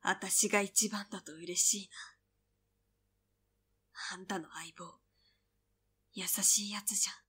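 A woman's voice: medium pitch, fairly even intonation, unhurried, pauses often, sharp tone, husky. A woman voicing a devoted tomboyish young girl in a voice drama, blunt yet tender. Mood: tender, resigned. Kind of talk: Japanese voice drama acting.

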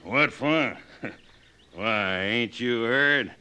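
An actor playing a blustering, bullying rancher in an old-time radio western, with a folksy, mocking, pushy drawl. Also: male voice, medium pitch, very slow, bright, forceful tone, smooth, heavily acted.

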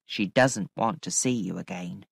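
A woman voicing a stern, troubled older housekeeper in a storybook, speaking with weighty, deliberate emphasis. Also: a bass voice, vocal fry, husky, no echo, voice pushed down, heavily acted. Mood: sad. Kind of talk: dramatic audiobook character acting.

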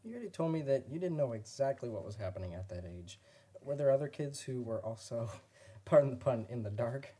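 An actor playing a sober male research interviewer in a radio drama, asking calm, probing questions. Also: mid-range voice, brisk, breathy edge. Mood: inquisitive.

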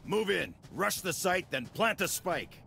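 An actor playing a commanding military squad leader in a game, giving terse tactical orders in a gravelly voice. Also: male voice, sits high, sing-song, a little slow, noisy background, heavily acted. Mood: urgent. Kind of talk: tactical game tutorial dialogue.

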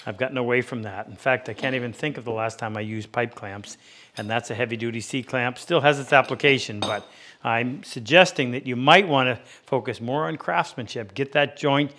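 Male workshop instructor, 50s, medium pitch, plain-spoken and practical, explaining techniques as he demonstrates. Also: a little fast, sharp tone, slight background noise. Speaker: woodworking instructor and host. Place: indoor woodworking workshop.

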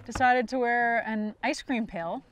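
A woman's voice: on the high side, unhurried. A young woman chatting casually as a fishing companion outdoors on a boat. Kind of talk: casual chat. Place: outdoors on a small boat on a lake.

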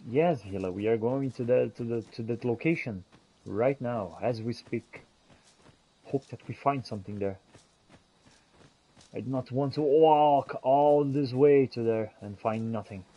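A man's voice: mid-range voice, unhurried, mellow, highs cut off. A man role-playing a musing, companionable fantasy adventurer in a video game, speaking in theatrical, archaic phrasing as he comments on his journey. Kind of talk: in-character gameplay commentary.

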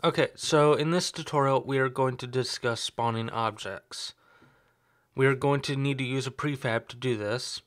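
A young man with a slight sore throat, talking through a screen-recorded coding tutorial in a relaxed, explanatory way. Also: medium pitch, moderate pace. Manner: relaxed, explanatory, thinks aloud with fillers. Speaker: tutorial host.

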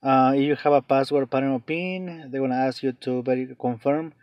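Flat-voiced man, hesitant tutorial talk. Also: mid-range voice, a little fast, no room sound, dull audio. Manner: hesitant, many fillers and restarts. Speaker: tech tutorial channel host. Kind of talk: off-camera phone tutorial voice-over.

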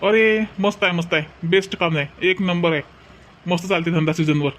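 A man's voice: high-pitched, fairly even intonation, moderate pace, a bit bright. A man playing an easygoing village shopper in a comic cartoon, rambling and repetitive, with a Varhadi Marathi accent. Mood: easygoing, approving. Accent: Varhadi Marathi from Vidarbha.

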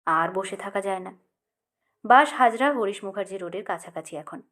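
A woman's voice: mid-range voice, animated, normal speed, a bit bright. A woman narrating a literary short story expressively, as an audiobook reader.

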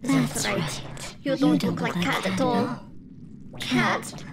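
Woman as slow eerie mournful messenger. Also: fairly high pitch, expressive. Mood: mournful questioning. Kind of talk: fan dub game voice acting. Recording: very loud noise over voice.

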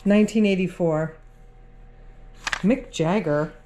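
A woman's voice: on the low side, slow, dark tone. A woman, a vlog host, chatting casually and unscripted as she sorts through things. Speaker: host, vintage reseller. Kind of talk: casual unscripted chat while sorting items. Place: cluttered room indoors at home. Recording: noisy room with handling clicks.

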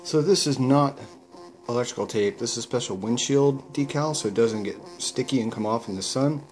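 A man's voice: mid-range voice, normal speed, darkish tone, rough recording. A man casually explaining his home-built gear in a plain, matter-of-fact way, recorded indoors at home. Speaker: paraglider showing his gear. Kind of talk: casual product demo.

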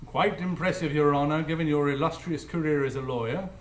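A man's voice: a little high, brisk, close to an everyday voice. Man acting a formal, echoey master detective. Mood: composed. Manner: formal, precise, assured. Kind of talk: live radio drama acting. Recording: echoey live stage.

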